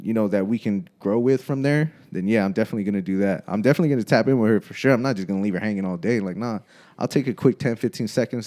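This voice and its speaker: A man's voice: fairly low pitch, mellow. A man in his thirties co-hosting a podcast, chatting casually in a laid-back, slangy way, often saying bro and laughing. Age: 30s. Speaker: podcast co-host. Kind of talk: casual chat.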